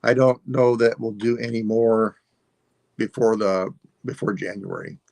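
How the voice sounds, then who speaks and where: A man of sixty-five, hosting a live sale and signing off in a relaxed, rambling way while losing his voice. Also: lowish voice, unhurried, vocal fry, no echo. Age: 60s. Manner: relaxed, rambling, self-deprecating. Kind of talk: casual live stream sign-off.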